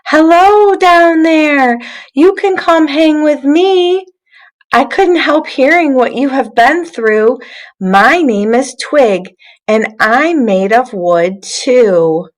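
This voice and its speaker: A woman's voice: sits high, lively intonation, slow pace, voice pushed up. A woman voicing a friendly wooden twig in a children's storybook, comforting and encouraging. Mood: friendly, inviting. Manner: reassuring, encouraging. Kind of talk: children's storybook read aloud.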